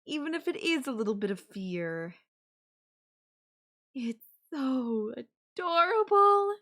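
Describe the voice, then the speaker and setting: A woman acting a slow-talking, obsessive yandere girlfriend. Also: on the high side, a bit bright, heavily acted. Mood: adoring, giddy. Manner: sweetly condescending, coaxing, threatening, with lingering pauses. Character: obsessive, menacing yandere girlfriend holding her lover captive. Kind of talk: girlfriend audio roleplay, intimate one-sided dialogue.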